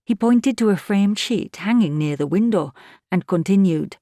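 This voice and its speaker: Woman as a mellow, measured housekeeper storyteller. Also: mid-range voice, expressive, dry recording, clean studio sound, natural voice. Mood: measured, observant. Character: observant, plain-spoken housekeeper recounting a story. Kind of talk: scripted audiobook narration.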